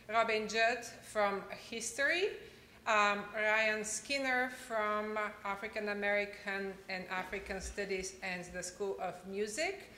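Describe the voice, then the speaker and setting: A woman's voice: medium pitch, a little hiss or hum. Woman professor at a podium. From Bulgaria. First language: Bulgarian. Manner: warm thanks with frequent uh fillers. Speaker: history professor introducing the keynote. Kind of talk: speech introduction. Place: large lecture hall, at a podium. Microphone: podium microphone. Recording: echoey hall.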